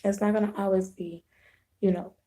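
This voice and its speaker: A hoarse woman with a sore throat, hosting a casual live video chat with her viewers, rambling and restarting her sentences. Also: medium pitch, flat delivery, dark tone. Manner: unhurried, rambling, clears throat, frequent restarts.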